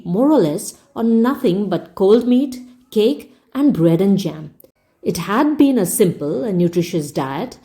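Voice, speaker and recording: A woman storyteller reading a story aloud in a measured, expressive, audiobook-style narration. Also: mid-range voice.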